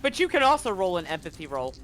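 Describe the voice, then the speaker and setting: A woman's voice: lowish voice, big pitch swings, fast talker, faint music behind. A woman game master casually narrating a livestreamed tabletop game to her players and viewers.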